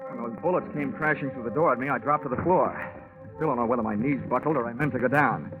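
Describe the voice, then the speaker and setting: Actor playing a wisecracking detective on tinny old radio. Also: male voice, mid-range voice, fast talker, over loud music, lightly acted. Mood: tense, wry. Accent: American. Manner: wry, wisecracking. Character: wisecracking, hard-boiled American male insurance investigator. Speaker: radio drama lead actor. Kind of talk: 1940s radio detective acting. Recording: noisy, narrow-band old radio recording.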